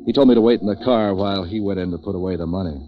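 An actor playing a street-wise, wisecracking hired tough who narrates a 1940s radio detective drama in a clipped, deadpan way. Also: male voice, low voice, racing, soft background music, lightly acted. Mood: deadpan, world-weary. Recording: dull vintage 1940s radio recording.

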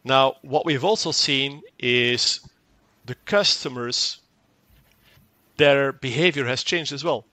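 A man whose first language is Dutch explains a technical product in an interview. His voice is bright and forward, and the sound is dry and close. He talks fluently but doubles up words and acts out short exchanges. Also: medium pitch, takes it slowly, no room sound. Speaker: tech company guest.

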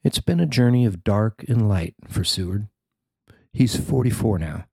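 A man narrating a newspaper feature he wrote, in a measured, even reading. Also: lowish voice, vocal fry, slightly rough, no room sound, clean studio sound. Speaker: newspaper columnist reading his story. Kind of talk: scripted narration.

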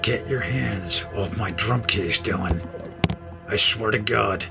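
A man's voice: fairly low pitch, takes it slowly, creaky, over music. A man acting in an audio horror drama as an angry, sarcastic rock drummer who is confrontational and fed up. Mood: angry, defiant.

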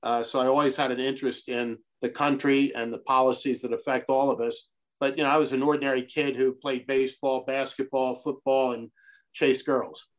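Middle-aged man from Brazil, a podcast guest, earnest and measured, mid-pitched voice. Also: level tone. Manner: earnest, measured, speaks of his convictions. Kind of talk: conversational interview.

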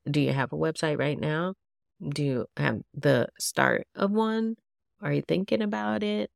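Solo podcast host, woman, nasal and stuffy from a cold, low gravelly voice, slow unhurried chat. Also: a little mellow, dry recording, clean studio sound. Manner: casual, chatty, asks listeners many questions. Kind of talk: solo podcast talk to yoga teachers.

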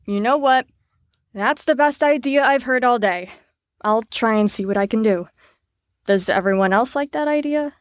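A woman's voice: fairly high pitch, normal speed, no echo, clean studio sound, natural voice. A woman playing a harried, rule-bound group leader in an audio drama, placating and officious.

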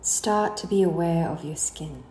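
Mellow, low-voiced woman guiding relaxation. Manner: unhurried, soothing, with trailing phrase endings. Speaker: guided meditation instructor. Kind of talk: slow guided relaxation narration. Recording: roomy echo, faint background noise.

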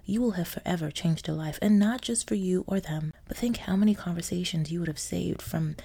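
A woman from Nigeria hosting a podcast, delivering a scripted storytelling monologue with a wry, sardonic, theatrical manner and frequent soft laughter. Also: on the low side, fairly quick, gravelly, breathy edge. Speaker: podcast host.

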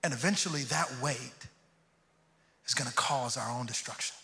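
A man's voice: medium pitch, normal speed, creaky, bright, forceful tone. A man from Houston preaching a sermon, earnest and prone to repeating words as he starts a thought. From Houston, Texas. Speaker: pastor. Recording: long hall reverb.

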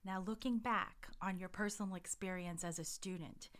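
A woman's voice: mid-range voice, fairly even intonation, moderate pace. A woman hosting a podcast interview, reading a scripted introduction and then asking questions conversationally. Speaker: podcast host.

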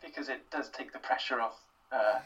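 A man's voice: medium pitch, normal speed, a bit bright, recorded from a distance, muffled recording. A young Welsh man answering interview questions in a thoughtful, self-deprecating way, with plenty of fillers and restarts. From Wales. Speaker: interview guest, writer. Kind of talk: casual interview.

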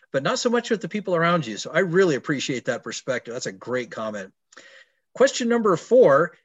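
Lively, affirming male podcast host. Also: on the high side, slightly rough. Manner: encouraging, affirming interviewer. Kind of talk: podcast interview hosting.

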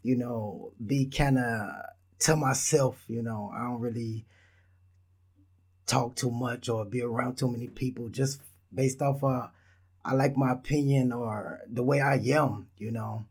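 Brief: thirties man from Israel, easygoing solo podcast host, rambles casually, middle pitch. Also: fairly even intonation, unhurried, a little mellow, slightly rough. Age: 30s. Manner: laid-back, rambling, talks straight to listeners.

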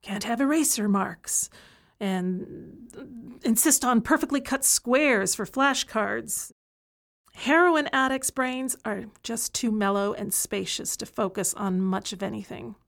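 A woman's voice: fairly high pitch, slow pace, vocal fry, fairly bright tone, exaggerated voice. An actress playing an incarcerated woman who tutors other prisoners, speaking frankly and earnestly in an audio play monologue. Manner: earnest, frank, building to a plea for change.

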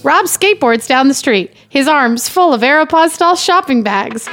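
A woman's voice: high voice, animated, normal speed, fairly bright tone, soft background music. A woman reading script directions and bantering as a comedy guest, with an exasperated, questioning manner.

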